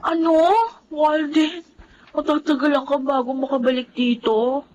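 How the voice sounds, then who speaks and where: A woman's voice: sits high, steady tone, a little slow, darkish tone, muffled recording, faint music behind. An actress playing a headstrong, lovestruck young woman in an emotive, flippant radio drama style. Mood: pleading. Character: headstrong, lovestruck young woman, defiant toward her family. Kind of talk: Filipino radio drama acting.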